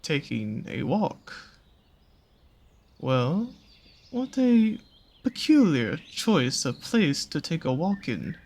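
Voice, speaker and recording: An actor performs a seductive serpent-creature from a fantasy roleplay audio. The delivery is extremely slow, with drawn-out pauses and lively, swooping intonation. The predator coaxes and teases, showing a soft spot for small, pretty prey. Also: medium pitch, slight background noise. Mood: teasing curiosity. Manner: slow, teasing, coaxing, with pet names and drawn-out pauses. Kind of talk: scripted roleplay audio drama addressed to the listener.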